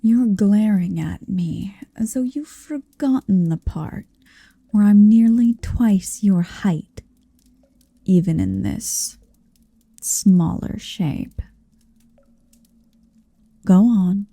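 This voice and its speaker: A very slow, very mellow dragoness acted by a woman murmurs in a breathy, often whispered ASMR roleplay voice with some creak. She is huge, possessive and domineering, teasing with deliberate menace. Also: mid-range voice, no room sound, exaggerated voice. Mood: taunting, possessive. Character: towering possessive female dragon in human form.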